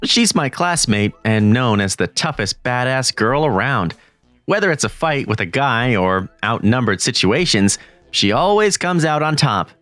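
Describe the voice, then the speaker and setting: Lively man narrating a manga story. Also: medium pitch, measured pace, no room sound, faint music behind. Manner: flustered, jumpy exclamations. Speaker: narrator and lead voice in a manga dub. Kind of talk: first-person story narration.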